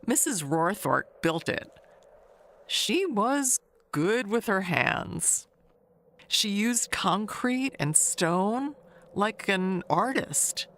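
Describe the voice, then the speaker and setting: A woman's voice: medium pitch, expressive, extremely slow, a bit bright, full character voice. A woman playing a chatty, gossipy neighbor in an audio drama, reminiscing in a confiding, rambling way. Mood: confiding, wistful. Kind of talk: audio drama monologue, conversational storytelling.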